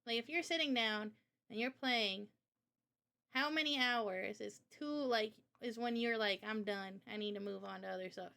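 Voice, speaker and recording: Bright, higher-pitched woman chatting with viewers. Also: normal speed, vocal fry. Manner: informal, addresses the viewers directly. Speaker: game developer and Q&A host. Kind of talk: casual livestream Q&A chat.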